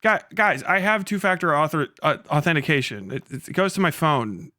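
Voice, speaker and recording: Man, livestream host, lively swooping pitch, bright forceful tone, profane and exasperated, casual chat, dry close sound. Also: normal speed, dry recording.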